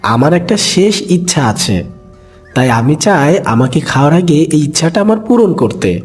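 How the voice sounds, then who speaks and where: A man's voice: a little high, big pitch swings, unhurried, husky, faint music behind, full character voice. A man voicing a kind, brave and clever young duckling in a cartoon animal fable, earnest and coaxing.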